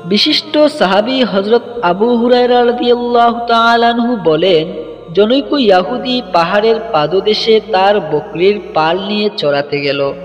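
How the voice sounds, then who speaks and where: A man's voice: high-pitched, measured pace. A man narrating a scripted religious story in a formal, ornate, declamatory literary manner. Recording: dull lo-fi, long reverb, music underneath. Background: music.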